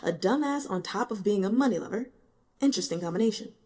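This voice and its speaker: A tired male office boss from an audiobook, played by a woman narrator. He talks in a casual, offhand way, brisk and blunt, with a wry teasing edge. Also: medium pitch, expressive, darkish tone, husky.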